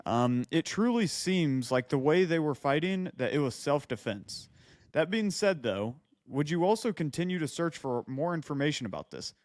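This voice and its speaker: Man, slightly high pitch, podcast co-host, casual comic banter, reading aloud with asides, very dry sound. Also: no room sound. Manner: interrupts his own reading with quips and asides.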